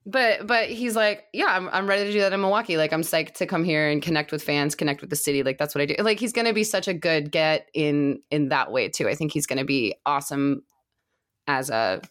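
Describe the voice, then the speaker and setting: A woman's voice: deep voice, a little fast, fairly bright tone. A woman chatting casually and enthusiastically as a co-host of a baseball fan podcast. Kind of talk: casual chat.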